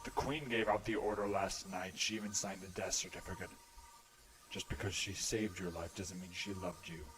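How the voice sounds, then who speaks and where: A man voicing a cold, menacing cartoon army general with a calm, smug, authoritative manner. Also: deep voice, normal speed, rough recording. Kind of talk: animated fan drama acting. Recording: dramatic music behind the voice.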